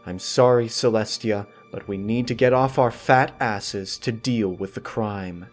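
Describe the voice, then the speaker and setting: A man's voice: on the low side, measured pace, faint music behind, close to an everyday voice. Man playing a blunt, reproachful pony princess. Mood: rebuking. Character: reproachful, blunt female midnight-blue alicorn princess.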